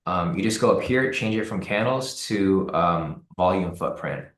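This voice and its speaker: A man hosting a live trading stream, hoarse and raspy from the cold, talking casually with many fillers and repeated words. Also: deep voice, expressive, unhurried, echoey room. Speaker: trading livestream host. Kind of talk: casual live-stream commentary answering chat.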